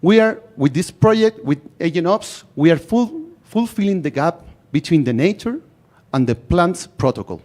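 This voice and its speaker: Male presenter, Chilean accent, Spanish native. Slightly high pitch, deliberate emphatic phrasing, rehearsed pitch delivery. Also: animated, darkish tone. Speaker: startup founder presenting.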